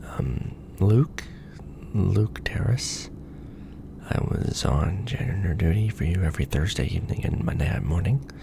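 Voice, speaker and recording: A man's voice: sits low, lively intonation, measured pace, creaky, in a whisper, pitched above the performer's own voice, heavily acted. A man playing a nervous, joking young ex-janitor in a roleplay audio drama, hesitant and informal.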